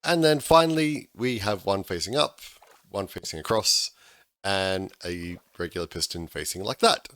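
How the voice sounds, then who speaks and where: A man, slightly hoarse because he is losing his voice, talking through a game-building tutorial in a chatty way with frequent restarts. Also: sits low, moderate pace, sharp tone. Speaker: gaming tutorial host. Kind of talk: casual game tutorial voice-over.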